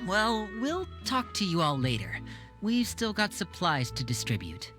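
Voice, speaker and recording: A woman voicing a composed, courteous teenage boy in a video game, with a measured, reassuring manner. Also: lowish voice, big pitch swings. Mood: tactful. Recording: background music under the voice.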